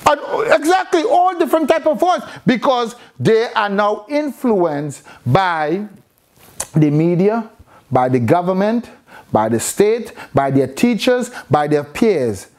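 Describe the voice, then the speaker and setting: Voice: mid-range voice, big pitch swings, slow, a little mellow. A preacher delivering an impassioned, exhorting sermon, repeating phrases for emphasis.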